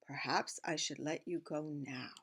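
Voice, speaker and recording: A woman voicing a gentle, cautious mother pot in a storybook. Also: low voice, fairly even intonation, unhurried, husky, exaggerated voice. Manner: soft, cautious.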